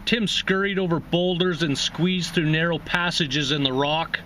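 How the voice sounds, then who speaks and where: A man's voice: a little high, steady tone, measured pace, pushed and bright, a little hiss or hum. A man hosting a reading series, narrating a literary short story aloud.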